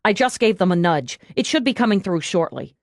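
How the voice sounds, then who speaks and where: A woman's voice: medium pitch, lively intonation, a little fast, no room sound, clean studio sound, lightly acted. A woman voicing a loyal female police aide in an audiobook, dutiful and wryly cheeky as she reports. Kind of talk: audiobook character dialogue.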